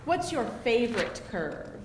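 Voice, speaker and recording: A woman's voice: a little high, big pitch swings, unhurried. A woman playing a shy, self-conscious divorced woman in her late thirties in a live screenplay reading. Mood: tender, flirtatious. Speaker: actress in a live table read. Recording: echoey hall, distant mic, faint noise.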